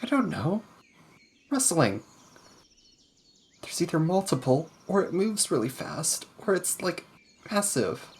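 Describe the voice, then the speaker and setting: A man's voice: on the high side, big pitch swings, slow pace, pauses often, slight background noise, pitched above the performer's own voice. A man voicing a tense, watchful boy in a tabletop game, speaking tersely and cautiously.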